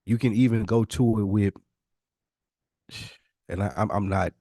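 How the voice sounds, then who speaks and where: A man's voice: on the low side, steady tone, measured pace, mellow, no room sound, clean studio sound. A man giving his opinions in an emphatic, slangy and profane casual conversation, often repeating phrases to drive a point home. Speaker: podcast speaker.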